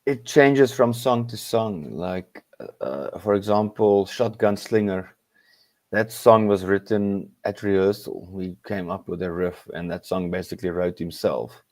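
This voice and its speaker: A South African man whose first language is Afrikaans, a rock musician guest talking in a halting way with many pauses and restarts. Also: low-pitched, a little mellow, dry recording. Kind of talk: casual interview chat.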